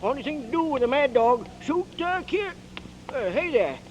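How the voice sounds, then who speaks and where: A folksy rambling old neighbor. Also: male voice, very high voice, sing-song, normal speed, a little hiss or hum. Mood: gossipy. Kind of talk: 1940s radio acting. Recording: dull old radio broadcast.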